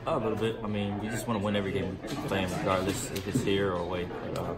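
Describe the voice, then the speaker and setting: A young man, a college basketball player, answering reporters after a game in a soft, halting way with many fillers. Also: sits low, flat delivery, loud music behind the voice. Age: young adult. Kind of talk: postgame media interview. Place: crowded locker room. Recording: noisy crowded locker room, off-mic.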